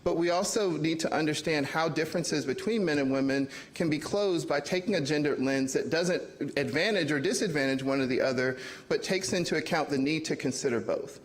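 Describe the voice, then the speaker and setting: Academic guy giving a panel talk, fairly high voice, lots of "sort of" and "you know". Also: fairly quick, hardly any pauses.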